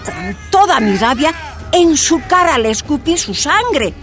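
A woman's voice: high-pitched, very animated, unhurried, fairly bright tone, soft background music, full character voice. An actress playing a tortured woman activist, recounting her imprisonment in anguished, emphatic first-person narration.

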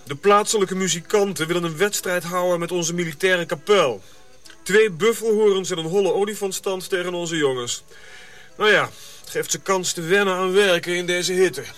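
An actor playing a self-assured Dutch colonial major-general, casually commanding, in a radio drama. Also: male voice, high voice, normal speed, fairly bright tone, faint music behind. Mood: brisk.

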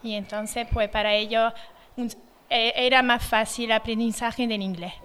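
A woman's voice: a little high, fairly even intonation, moderate pace, fairly bright tone, slight background noise. A young French woman, a native French speaker, working as a nursery school teacher, answering questions in a radio interview, hesitant and full of fillers. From France.

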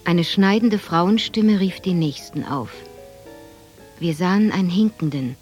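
A woman's voice: on the low side, a little mellow, faint music behind, natural voice. Actress as reflective woman recalling her childhood. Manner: measured, reflective storytelling. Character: grown woman narrator remembering her childhood. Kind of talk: scripted radio drama narration.

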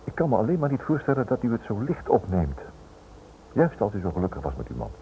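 An actor playing a smooth, prying writer in a radio play, polite but insinuating and persistent. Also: male voice, lowish voice, huge pitch swings, fast talker, heavily acted. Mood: insinuating, probing. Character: smooth, prying male writer probing a prisoner's wife. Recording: noisy, dull old radio drama recording.

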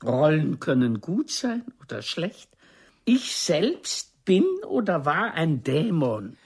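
An actress playing a haughty, mocking older stage diva in a radio play, savoring her words with theatrical disdain in a husky voice. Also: lowish voice, full character voice. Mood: grandly theatrical.

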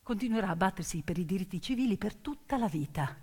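A woman performing dramatic theatrical storytelling in an emphatic, declamatory manner, with deliberate pauses and repetitions. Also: medium pitch, lively intonation, a little mellow, husky. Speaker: stage storyteller. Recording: piano music behind the voice. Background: piano accompaniment.

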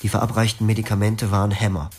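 A young former psychiatric patient who narrates a radio drama in the first person. The voice is low and the tone is quiet and melancholic. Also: male voice, level tone, fast, breathy edge, soft background music. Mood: reflective. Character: young male patient recalling his clinic past.

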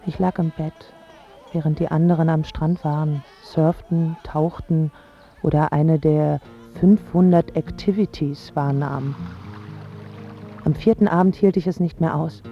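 A lovesick young woman confessing her story in a radio drama. Her voice is on the low side, plaintive and emphatic. It sounds a little muffled, with faint-to-clear music underneath. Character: lovesick, bewildered young woman from wealthy home.

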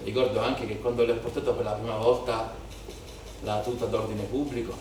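An actor playing a weary, bitter policeman and shepherd's son from southern Italy in a declamatory theatrical monologue with dramatic pauses and repetitions. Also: lowish voice, level tone, darkish tone, natural voice. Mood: subdued, wistful. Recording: very echoey theatre, distant mic, faint noise.

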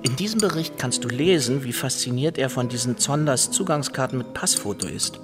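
An ambitious, self-important husband in a radio play, acted by a man. He confides and boasts eagerly in a medium-pitched voice, with music clearly behind him. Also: lively intonation, a little fast, lightly acted. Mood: confiding, proud. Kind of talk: radio drama acting.

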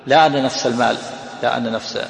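A man's voice: medium pitch, steady tone, rapid-fire, vocal fry. A man explaining hadith in a lesson, restating each point in several ways. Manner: explanatory, repeats phrases. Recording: echoey hall, faint noise.

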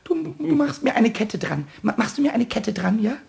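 A little male kobold for a children's radio play, squeaky and high with very lively swings in pitch. He is cheeky and stubborn, repeats himself in his excitement and pleads like a whiny child. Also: normal speed, gravelly, exaggerated voice. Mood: eager, pleading.

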